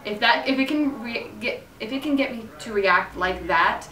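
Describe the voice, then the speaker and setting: A woman's voice: fairly high pitch, expressive, normal speed, sharp tone, a little hiss or hum. A woman theatre director talking enthusiastically and off the cuff in an interview. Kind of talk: unscripted interview talk. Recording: roomy echo, distant microphone.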